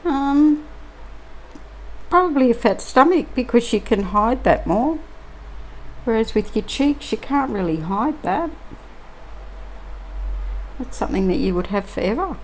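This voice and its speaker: A middle-aged Australian woman chatting casually and musing aloud, with many pauses and ums, while she crafts at a table indoors. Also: a little high, animated, a little hiss or hum, poor audio. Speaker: crafting vlogger.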